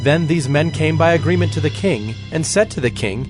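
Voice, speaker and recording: A man narrating scripture as a formal, measured storyteller in a dramatized production. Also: on the high side, animated. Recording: music bed behind the voice.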